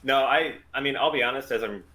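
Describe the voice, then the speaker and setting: A man's voice: mid-range voice, brisk, bright, forceful tone. A man chatting casually as he organises a group script read over an online call. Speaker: writer and organiser of the table read.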